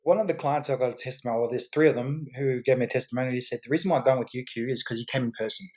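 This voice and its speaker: A man's voice: medium pitch, very fast. A man as a guest on a podcast, explaining his business in a confident, salesy way with frequent repeats and restarts. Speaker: guest entrepreneur.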